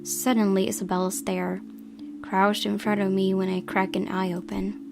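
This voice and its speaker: A woman narrates a visual novel with expression, reading as she plays. Her mid-pitched voice has plenty of creak, and music plays clearly underneath. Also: level tone, normal speed. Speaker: game player reading aloud.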